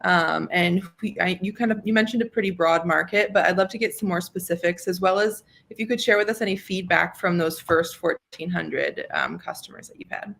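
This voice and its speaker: Lower-pitched woman judging a pitch. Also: animated, fairly quick, gravelly, hardly any pauses, a bit bright. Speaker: competition judge. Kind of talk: unscripted question to a presenter. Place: online video call.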